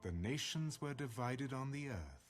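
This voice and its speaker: A man reading scripture as an audiobook narrator in a measured, solemn manner. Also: medium pitch, lively intonation, soft background music.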